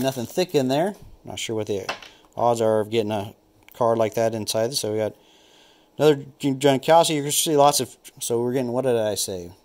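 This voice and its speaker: A man's voice: medium pitch, a little hiss or hum. Man casually calling out trading cards. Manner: relaxed, thinking aloud, naming cards as he flips. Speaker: card collector opening packs. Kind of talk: casual unboxing commentary.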